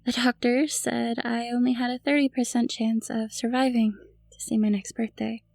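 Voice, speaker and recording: A woman playing a grieving, newly turned vampire mother in an audio drama, pleading in a hesitant, halting way. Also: on the high side, level tone, creaky, a little mellow, dry recording. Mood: subdued, confessional. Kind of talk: cinematic audio roleplay acting.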